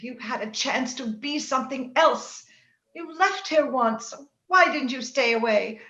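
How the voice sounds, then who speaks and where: Woman acting high-pitched young gentlewoman, proud, theatrical, romantic, radio play, roomy and slightly dull recording. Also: animated, unhurried. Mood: haughty, scornful. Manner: theatrical, emotional, haughty. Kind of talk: old-time radio drama acting. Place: indoor room, recorded remotely over video call.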